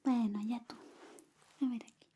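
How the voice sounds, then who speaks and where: A woman speaking softly and often whispering in an ASMR roleplay, playing an affectionate, playful best friend. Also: a little high, steady tone, moderate pace, slightly rough. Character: affectionate, clumsy female best friend giving a shave. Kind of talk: ASMR personal attention roleplay.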